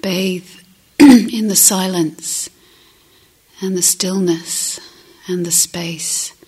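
A woman meditation teacher with a breathy, husky voice often near a whisper, gently guiding a meditation with long pauses. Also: fairly low pitch, fairly even intonation, rough recording. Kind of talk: guided meditation.

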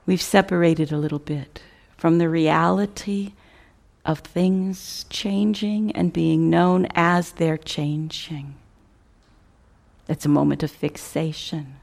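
A woman meditation teacher speaks very slowly in a fairly low voice with a gravelly fry. She leaves long pauses between short, contemplative phrases, often letting the endings fade softly toward a near-whisper. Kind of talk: guided meditation instruction, spiritual teaching.

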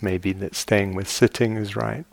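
A man guiding a meditation as a teacher, speaking gently and in measured short phrases with long pauses. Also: lowish voice, fairly even intonation, creaky. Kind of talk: guided meditation. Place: meditation retreat hall.

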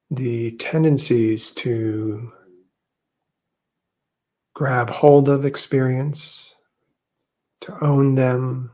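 A man guides a meditation in a medium-pitched voice with very little rise and fall. He speaks gently and very slowly, leaving long pauses between short phrases as a teacher would.

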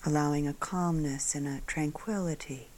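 A woman leading a guided meditation as a teacher, calm and gentle, in short phrases with long pauses, her soft voice turning breathy at phrase ends. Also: sits low.

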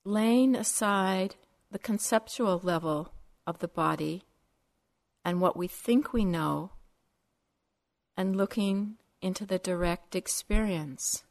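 A woman teaching a guided meditation in measured, short phrases separated by long pauses. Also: medium pitch.

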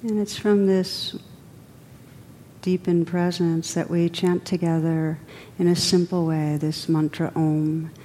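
Woman guiding meditation, lowish mellow voice, gentle and unhurried with pauses, little pitch movement. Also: little pitch movement, a little hiss or hum. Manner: gentle, unhurried, pausing between phrases. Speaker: meditation teacher. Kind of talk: guided meditation.

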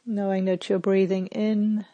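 Female meditation guide: very unhurried, calm and gentle, mid-pitched, long pauses, dry close sound. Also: no room sound, lo-fi sound. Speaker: meditation teacher.

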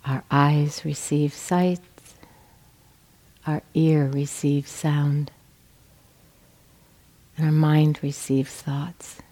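A woman with a low, mellow voice leads a guided meditation. She speaks very slowly, in gentle phrases separated by pauses, like a teacher giving measured instruction. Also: flat delivery.

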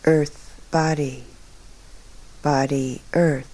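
A woman leading a guided meditation as a teacher, speaking calmly in short, measured phrases with long pauses. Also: deep voice, poor audio.

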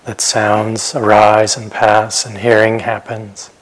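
A man giving guided meditation instructions as a teacher, speaking gently and unhurriedly with pauses and phrases that trail off softly. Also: fairly low pitch, completely flat delivery, poor audio. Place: meditation retreat center.

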